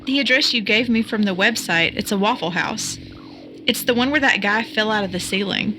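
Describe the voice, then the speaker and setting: A woman's voice: mid-range voice, moderate pace, noisy background, close to an everyday voice. A woman playing a curious, tech-savvy young computer sleuth in an audio drama, explaining things conversationally. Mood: concerned, intrigued.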